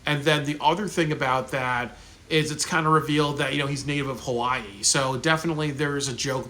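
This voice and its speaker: A man's voice: mid-range voice, pushed and bright. A man giving a casual, rambling spoken review, full of fillers like "um" and "you know" and frequent restarts. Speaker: video reviewer.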